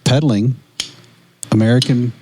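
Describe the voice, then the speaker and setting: A man's voice: mid-range voice, fairly even intonation, a little slow, mellow, faint music behind. A man co-hosting a podcast in casual, conversational talk. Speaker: podcast co-host. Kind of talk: casual chat about cigars.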